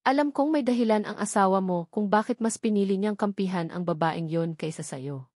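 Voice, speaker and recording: A woman's voice: mid-range voice, brisk, a little mellow, no echo, natural voice. Woman reader as caring mother, earnest and admonishing, audiobook drama, dry sound. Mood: consoling. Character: caring, gently admonishing older mother of a grown daughter. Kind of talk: dramatised novel reading.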